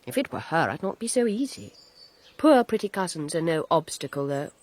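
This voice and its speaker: An imperious, plotting lady of rank in a radio period play. Her voice is breathy-rough and fairly low, with extremely wide swoops of pitch. She is arch and coolly malicious. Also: big pitch swings, brisk, a little mellow. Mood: gleefully malicious. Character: scheming, imperious society mother, coolly malicious. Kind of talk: radio period drama acting.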